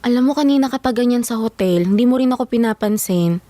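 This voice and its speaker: A woman's voice: fairly high pitch, quick pace, dark tone, dry recording, lightly acted. A Filipino woman playing a loyal, exasperated young office worker in an audio drama. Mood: concerned, exasperated.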